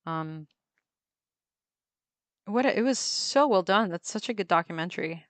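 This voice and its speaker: Woman, casual livestream chat, slightly low voice, laughs a lot, lots of ums, dry close sound. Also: lively intonation, a little slow, no room sound. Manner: chatty, laughs often, many ums and restarts. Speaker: livestreamer drawing on a tablet. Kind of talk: casual chat while drawing.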